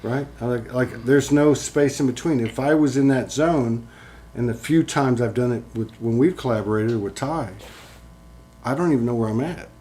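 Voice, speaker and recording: A man's voice: mid-range voice, moderate pace, warm tone. A man hosting a casual spiritual chat, talking in a rambling, earnest way with many "like"s and some casual swearing. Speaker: host. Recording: guitar playing behind.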